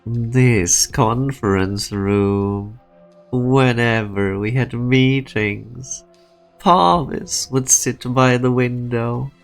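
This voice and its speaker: A man voicing a calculating, sardonic and menacing executive in a game fan dub, speaking deliberately. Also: medium pitch, gravelly. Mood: wry nostalgia. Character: calculating male corporate executive, sardonic, menacing, pressing for truth. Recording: music behind the voice.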